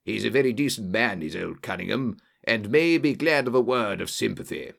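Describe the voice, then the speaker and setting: A man voicing a bluff, genial old-soldier colonel, an English country gentleman, in an audiobook story. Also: fairly low pitch, lively intonation, normal speed, creaky, full character voice. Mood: cool, matter-of-fact.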